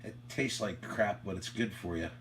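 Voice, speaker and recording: A man chatting informally as an amateur radio operator, halting and reflective as he talks. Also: lowish voice, fairly bright tone. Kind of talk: casual radio chat. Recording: faint hum and background noise.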